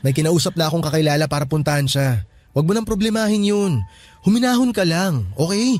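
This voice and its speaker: An actor playing a calm, reassuring young hospital worker in a Tagalog radio drama, steady and soothing, with a Filipino accent. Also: male voice, fairly high pitch, fast, a little hiss or hum, natural voice. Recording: music behind the voice.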